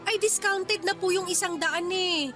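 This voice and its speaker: Really high sharp-voiced young market girl selling fish, pushy and defiant, radio drama w faint music. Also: steady tone. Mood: coaxing. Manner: pleading sales talk, quick to talk back. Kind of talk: Tagalog radio drama acting.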